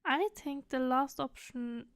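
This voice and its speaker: A woman's voice: high-pitched, steady tone, takes it slowly, clear, resonant tone, no room sound. A woman giving casual gameplay commentary as a streamer, sometimes reading choices aloud. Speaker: game streamer.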